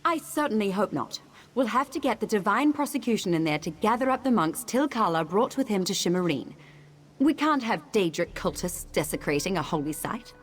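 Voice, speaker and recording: A woman's voice: mid-range voice, expressive, a little fast, close to an everyday voice. An actress playing a proud, haughty noblewoman who speaks with scorn, in a video game. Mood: bitter.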